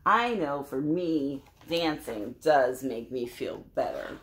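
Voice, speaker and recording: A woman storyteller reading a picture book aloud to children, conversational and expressive, with asides to young listeners. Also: extremely low pitch, slow pace.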